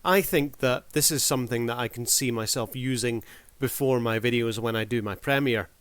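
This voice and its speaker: A man presents a casual review as host, talking straight to his audience like a showman. His voice sits at a medium pitch, and the recording is dry and close, with little room sound. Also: normal speed, no room sound. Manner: showman-like, addresses audience formally.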